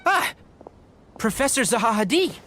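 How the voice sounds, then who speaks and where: An actor playing a young, sensible forest-ranger scholar in a video game, calm, articulate and polite. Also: male voice, very high voice, moderate pace, a little hiss or hum, heavily acted. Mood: polite, concerned.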